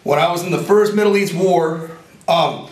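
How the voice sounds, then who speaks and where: Raspy-voiced man, rambling and earnest. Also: high-pitched, normal speed. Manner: rambling, self-joking, earnest. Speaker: inventor and veteran interviewee. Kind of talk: casual interview talk. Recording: roomy echo, from a distance.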